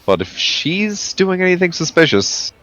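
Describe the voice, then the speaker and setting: A man's voice: sits high, sing-song, a little slow, a bit bright, dull audio, voice pushed up, exaggerated voice. Man playing a surly spaceship pilot, swooping delivery. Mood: grumbling, suspicious. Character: surly, suspicious, foul-mouthed male spaceship pilot.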